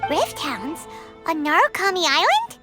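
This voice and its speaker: An actress voicing a tiny, perky, childlike fairy companion in an anime-style video game, squeaky and chirpy. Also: female voice, huge pitch swings, measured pace, full character voice. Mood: curious. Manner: chirpy, exclamatory. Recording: loud game music behind.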